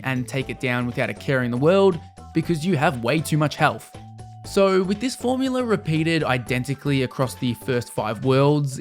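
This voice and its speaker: A man with a slightly high voice narrates a scripted video game review. He explains things in a measured way and gives his opinions plainly. Music plays faintly under his voice.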